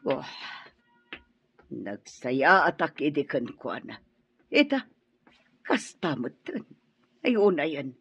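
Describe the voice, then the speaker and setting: An actress playing a gossipy, money-minded middle-aged mother in a radio drama, exclamatory and husky. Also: deep voice, sing-song, takes it slowly, pauses often, fairly bright tone, exaggerated voice. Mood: pleading, hurt. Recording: dull lo-fi radio recording.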